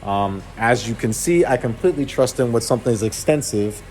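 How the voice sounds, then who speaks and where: A man's voice: mid-range voice, lively intonation, brisk, crowd noise. A man in his thirties hosting a car vlog, talking casually and often correcting himself mid-sentence. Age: 30s. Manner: casual, with restarts and self-corrections. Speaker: car channel host. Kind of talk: casual shop-floor vlog. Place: auto repair shop, indoors.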